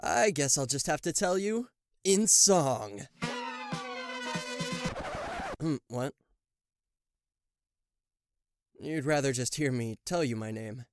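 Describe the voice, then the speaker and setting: A man's voice: medium pitch, expressive, a little slow, clean tone, dry recording, clean studio sound, soft background music, close to an everyday voice. A man voicing a theatrical, sarcastic demon king of hell in a roleplay audio drama, proud and showy in manner. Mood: exasperated, mocking. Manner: sarcastic, showy, stammering in disbelief. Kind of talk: roleplay audio drama acting addressed to the listener.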